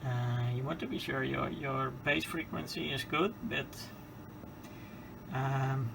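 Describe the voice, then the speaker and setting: Male hobbyist presenter, strong vocal fry, unhurried and halting, casual how-to delivery, noisy recording. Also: medium pitch, fairly even intonation. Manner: hesitant, halting, matter-of-fact. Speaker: hobbyist presenter of radio repair. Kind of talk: casual hands-on explanation. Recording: clear background noise.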